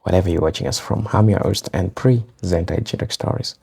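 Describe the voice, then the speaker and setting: Man, host, deep voice, husky, addresses viewers directly. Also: brisk. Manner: warm formal address to viewers. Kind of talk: channel commentary.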